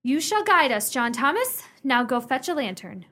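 A woman's voice: sits high, sing-song, normal speed, loud music behind the voice. A woman acting an anxious, genteel widowed lady of the house in a radio play, refined, earnest and theatrical. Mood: composed, commanding.

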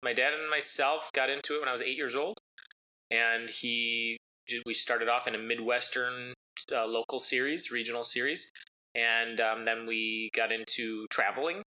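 A man's voice: mid-range voice, fairly even intonation, normal speed, bright, forceful tone, poor audio. A man whose first language is Italian, talking casually as an interview guest about his racing life, rambling with many ums and uhs. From United States.

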